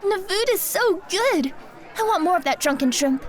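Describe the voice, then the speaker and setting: A woman's voice: extremely high pitch, expressive, normal speed, some chatter behind. A woman playing a naive, gluttonous young woman in an audio drama, childlike, wide-eyed and blunt in manner. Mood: greedy delight.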